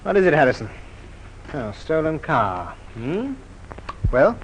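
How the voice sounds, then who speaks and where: An actor playing a brisk, methodical police superintendent recounting a case in an old radio crime drama, measured and authoritative. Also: male voice, on the high side, sing-song, poor audio. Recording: old radio recording, light hiss.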